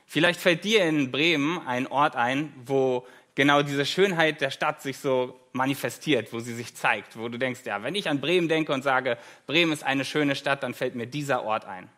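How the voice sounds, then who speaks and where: A man's voice: mid-range voice, moderate pace, few pauses, pushed and bright. A German man in his thirties, a guest preacher giving a conversational sermon with light self-deprecating humor, in a church.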